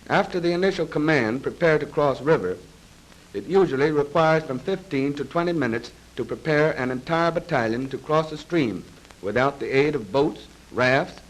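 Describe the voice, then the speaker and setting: A man's voice: mid-range voice, poor audio. Formal man narrating a 1930s training film. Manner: formal, measured, precise. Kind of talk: 1930s military instructional film narration, scripted. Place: voice-over recorded for a film. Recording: vintage 1930s film soundtrack.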